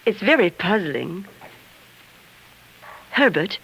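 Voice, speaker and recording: An actress playing a coquettish, inquisitive young newlywed wife with a theatrical manner in a 1940s radio drama. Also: female voice, medium pitch, sing-song, takes it slowly, gravelly, a bit bright, breathy edge, heavily acted, low-quality recording. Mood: puzzled. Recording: 1940s radio broadcast recording.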